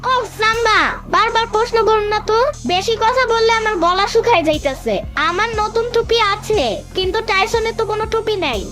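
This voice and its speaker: Super high squeaky bossy little bangladeshi boy, cartoon comedy, loud music behind. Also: brisk. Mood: grumbling. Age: child figure. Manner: demanding, indignant. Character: bossy, cheeky little boy devoted to his dog.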